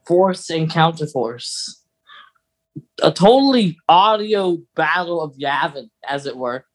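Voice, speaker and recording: This is a podcast co-host in relaxed conversation. The voice sits at a medium pitch. The delivery wanders and circles back to correct itself. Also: takes it slowly, rough recording. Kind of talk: casual chat.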